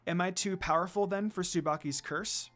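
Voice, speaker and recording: A man's voice: sits high, steady tone, moderate pace, a bit bright, faint music behind. A man voicing a curious, kind young priestess with an earnest, questioning manner in a fan fiction reading.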